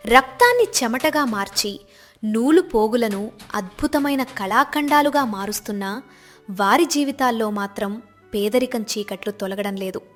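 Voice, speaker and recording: A woman's voice: fairly high pitch, animated, moderate pace, soft background music. A woman narrator reading a scripted village moral story in a dramatic storytelling style.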